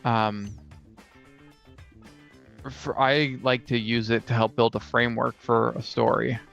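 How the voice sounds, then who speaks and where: A man with a creaky, frying voice at middling pitch chats casually and pauses to find his words. The recording is a little dull, and he sounds like a player talking over game ideas. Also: little pitch movement, a bit bright. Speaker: podcast co-host and tabletop player. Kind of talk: casual chat about fantasy tabletop games. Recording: dull lo-fi sound with faint music. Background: faint guitar music.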